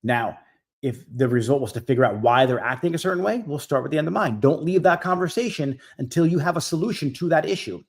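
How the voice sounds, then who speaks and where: A man's voice: a little high, expressive, quick pace, vocal fry. A man in his forties hosting a live stream Q&A, giving business coaching in a direct, emphatic way that repeats key points. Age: 40s. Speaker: host.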